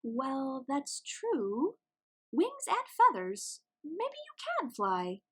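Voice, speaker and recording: Kindly storybook petrel, a woman performing, high-pitched and unhurried, motherly, gently discouraging. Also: wildly swooping pitch, exaggerated voice. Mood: pondering, doubtful.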